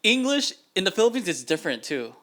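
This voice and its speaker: A man co-hosting a relaxed podcast, his voice bright and slightly high. His pitch swings freely as he jumps in with questions and slangy asides. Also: quick pace. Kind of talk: casual chat.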